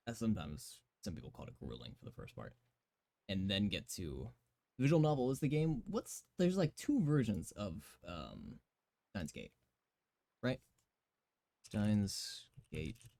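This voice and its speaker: A young man in his twenties with a slightly raspy voice rambles through live game commentary. He giggles a lot and fills gaps with "um" and "like". His pitch leaps wildly from low mumbles to high squeals. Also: darkish tone, dry recording. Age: 20s. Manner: giggly, rambling chatter full of fillers. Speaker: streamer.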